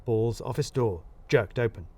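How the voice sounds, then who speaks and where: A man narrating a scripted comic mystery in the first person, wry and expressive. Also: medium pitch, moderate pace, slightly rough. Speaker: narrator of a serial mystery story.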